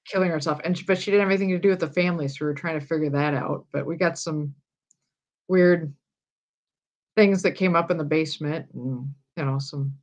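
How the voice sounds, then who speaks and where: A woman's voice: sits low, fairly quick, darkish tone. A woman from Iowa, a guest on a livestream talking over a video call in an easygoing way, laughing often and giving short replies. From Iowa, USA. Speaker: guest, paranormal investigator. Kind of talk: casual chat on a video call.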